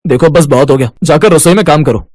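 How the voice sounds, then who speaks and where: A man playing a husky, pushy grown son. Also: fairly high pitch, fast, darkish tone, dry recording, studio quality, lightly acted. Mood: irritated. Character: grown son, scolding, greedy, falsely contrite.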